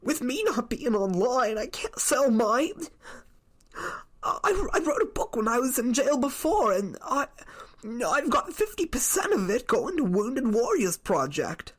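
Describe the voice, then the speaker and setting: Woman acting as a tearful, pleading male defendant, high-pitched. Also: sing-song, husky, heavily acted. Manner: halting, repeating, whiny. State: crying, voice breaking. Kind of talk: amateur readers theater court transcript reenactment.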